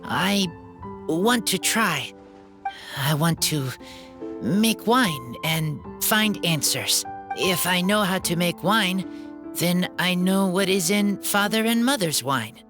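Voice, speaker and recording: An actor voicing an earnest, wild-raised teenage boy in a video game, speaking tersely in halting, broken sentences. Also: mid-range voice, lively intonation. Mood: earnest, determined. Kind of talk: video game character acting. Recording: music behind the voice.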